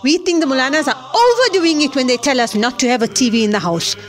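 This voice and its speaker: A woman's voice: high-pitched, expressive, quick pace. A woman playing a stern middle-aged mother in an audio drama, scolding her daughter in an exasperated way.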